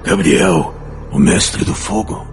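A man playing a mature, reverent villager of ancient times in a solemn, slow audiobook drama. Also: deep voice, slight background noise. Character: mature bearded male ancient villager, reverent watcher angel in human form.

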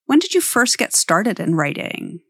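A woman's voice: medium pitch, very animated, normal speed, fairly bright tone, slightly rough, dry recording, studio quality. A woman hosting a podcast, introducing and interviewing a guest in a conversational but prepared way.

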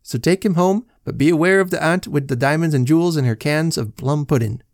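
A man's voice: fairly high pitch, fairly quick, darkish tone, no room sound, clean studio sound, pitched above the performer's own voice, lightly acted. A man doing a wry sergeant. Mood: dismissive. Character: wry Irish police sergeant, dismissive.

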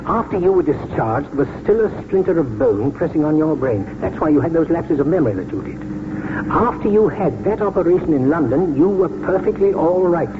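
Actor as kindly, emphatic older country doctor, old-time radio. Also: male voice, on the high side, expressive, a little fast, background noise, lightly acted. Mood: reassuring, earnest. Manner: earnest, emphatic, theatrical. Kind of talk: 1940s radio drama acting. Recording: muffled 1949 radio broadcast, music behind.

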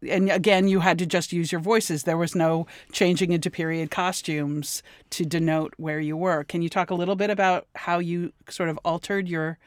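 A woman's voice: fairly low pitch, fairly even intonation, normal speed, hardly any pauses, no echo, studio quality. A woman interviewing actors in casual conversation, as an audio drama creator.

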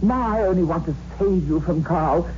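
An actress playing a desperate, pleading wife in an old-time radio suspense drama, speaking with urgent, earnest dramatic emphasis. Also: female voice, lowish voice, moderate pace, warm tone, lightly acted. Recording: dull old radio recording, faint noise.

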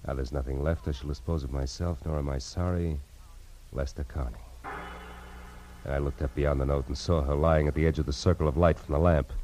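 An actor playing a hard-boiled, wisecracking private detective in 1940s radio style, with a sardonic, clipped, slangy delivery. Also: male voice, extremely low pitch, steady tone, fairly quick, mellow, a little hiss or hum, poor audio. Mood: grim narration. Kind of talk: 1940s radio acting with first-person noir narration. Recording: old radio recording with music.

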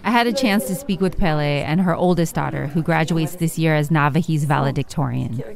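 Low-voiced woman narrating a radio report. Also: crowd noise. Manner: measured, clear storytelling delivery.

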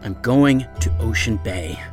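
An actor playing a bewildered, driven investigator in a mystery audio drama trailer. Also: male voice, lowish voice, measured pace, darkish tone, soft background music, lightly acted. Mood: bewildered, intent. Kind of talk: podcast drama trailer acting.

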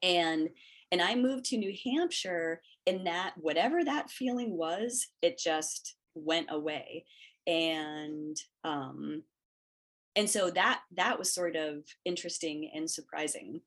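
A middle-aged woman, a woodworking educator born in Hawaii, answering interview questions in a reflective, halting way with many restarts. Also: lowish voice, expressive.

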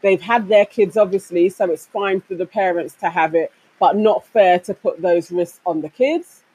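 A woman's voice: on the low side, moderate pace, darkish tone, breathy edge, poor audio. A woman co-hosting a casual chat show, reading out listener comments and laughing along. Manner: reads comments aloud, laughs often.

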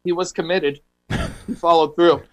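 A man's voice: a little high, steady tone, moderate pace, poor audio. A man co-hosting a radio show in casual, joking banter.

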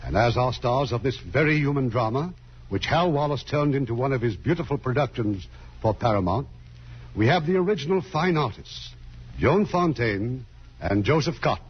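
A man's voice: medium pitch, expressive, moderate pace, slightly rough. A man hosting an old radio theatre as producer, giving formal scripted narration. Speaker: producer and host. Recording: muffled old radio broadcast, faint music.

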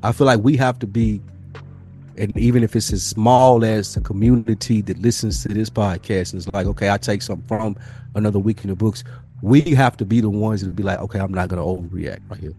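A man's voice: lowish voice, steady tone, a little fast, warm tone, faint music behind. A man in a casual podcast discussion, swearing freely and acting out imagined exchanges as he tells his point. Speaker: podcast speaker.

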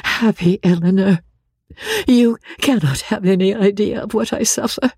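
A woman reading a classic novel aloud, playing a passionate, grief-stricken young woman with a wailing, halting, exclamatory delivery. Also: mid-range voice, a little mellow, husky, no room sound, pitched above the performer's own voice. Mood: tormented, pleading. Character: anguished, passionate young woman, wildly grieving sister.

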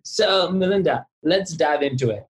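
A man's voice: fairly high pitch, normal speed, background noise. A man hosting a podcast in an office, chatting casually and informally as he interviews and chimes in. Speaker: podcast host.

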